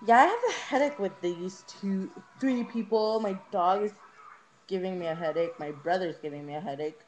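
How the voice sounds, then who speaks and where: A young woman giving a casual, rambling home tour on a webcam, informal and a little hesitant as she goes. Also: moderate pace. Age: teenager to young adult. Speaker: vlogger. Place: rooms of a small house. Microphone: handheld webcam, moved around. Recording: noisy low-quality webcam audio.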